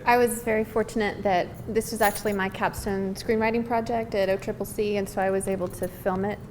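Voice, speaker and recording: A woman's voice: medium pitch, fairly even intonation, brisk, a little mellow. A woman screenwriter answering questions casually, earnest and hesitant as she searches for words. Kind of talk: casual, unscripted Q&A talk. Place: live event hall. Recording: reverberant hall with background noise.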